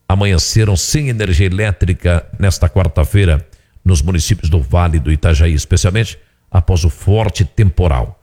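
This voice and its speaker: Man, low pitch, mellow, steady news read. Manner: steady measured newsreading with occasional slips. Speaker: news presenter. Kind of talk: regional radio news reading.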